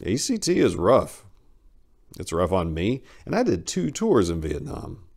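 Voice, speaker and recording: Man acting out a low, gravelly haunted war veteran. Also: wildly swooping pitch, a little slow, darkish tone, exaggerated voice. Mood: uneasy, confessional. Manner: plain-spoken, confessional, sardonic. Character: big middle-aged Vietnam veteran patient, haunted, dryly wry. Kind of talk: first-person dramatic short-story reading.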